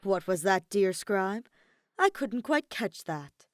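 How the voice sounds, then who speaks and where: A woman voicing a dramatic, indignant young man in a story, bickering in a loud, incredulous, spluttering way. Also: medium pitch, big pitch swings, takes it slowly, dry recording, studio quality. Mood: teasing, triumphant.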